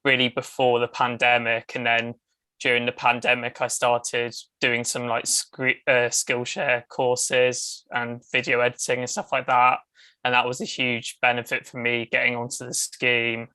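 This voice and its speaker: A young man giving advice in a casual way, full of "like" and "um". His voice is bright and crisp, and it stays flat in pitch. The recording is dry and close. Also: a little slow, no echo. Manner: casual, heavy use of "like" and "um". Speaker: alumnus of a documentary training scheme. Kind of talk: casual panel chat.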